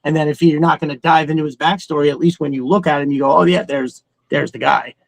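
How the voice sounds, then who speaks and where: A man's voice: a little high, steady tone, quick pace, vocal fry, no echo. A man chatting casually and emphatically as a podcast co-host, swearing freely and rambling through his opinions. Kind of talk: casual opinionated fan chat.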